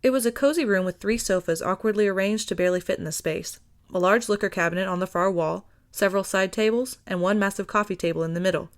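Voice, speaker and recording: A woman's voice: medium pitch, fast. A woman reading a story aloud as scripted narration. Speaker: podfic reader.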